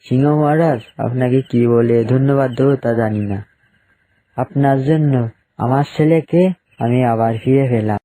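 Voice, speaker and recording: Guy doing a protective dino dad for a kids cartoon, mellow soft-edged voice, middle pitch. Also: normal speed, lightly acted, poor audio. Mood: grateful. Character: caring, protective adult dinosaur father. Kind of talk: children's cartoon dialogue.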